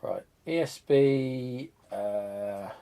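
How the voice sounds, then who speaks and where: A man hosts a live stream, still a bit congested and coughing from a cold. He rambles and thinks out loud while he tinkers, poking fun at his own disorganisation, and his voice now and then drifts back from the mic. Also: medium pitch, extremely slow, clear, resonant tone. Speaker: retro computer channel host. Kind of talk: casual live stream chat.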